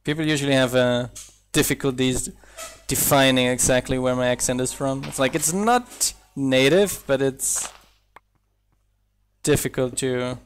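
Man with slight German accent, slow. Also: mid-range voice, slight background noise. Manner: chatty, thinks aloud with restarts and laughs. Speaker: mod developer streaming gameplay. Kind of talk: casual live-stream chat while playing.

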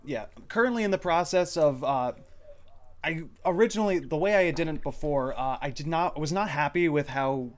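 Slightly high-voiced man, casually hosting. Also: normal speed. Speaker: host and project lead of fan dub. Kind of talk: casual group Q&A chat.

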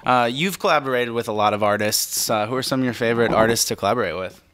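A man's voice: medium pitch, fast, fairly bright tone, no echo. A young man, hosting a casual music interview and asking conversational questions. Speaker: interviewer, music show host. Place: indoor music studio. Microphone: stand microphone.

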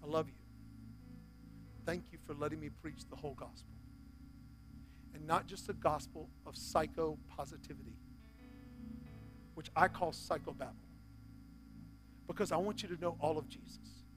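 A man in his forties, a pastor preaching a sermon on a church stage, swinging from loud exhortation to soft, near-whispered appeals. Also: fairly high pitch, fairly even intonation, normal speed, long pauses, music in the background.

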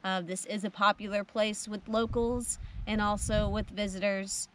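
A woman in her thirties sits outdoors next to a truck camper and shares practical travel advice with a tripod camera. Her voice is at a middle pitch for a woman, and her delivery is friendly and matter-of-fact. Faint outdoor noise sits in the background. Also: little pitch movement, moderate pace, a bit bright. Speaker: travel vlogger. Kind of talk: casual travel vlog chat. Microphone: camera on a tripod, seated.

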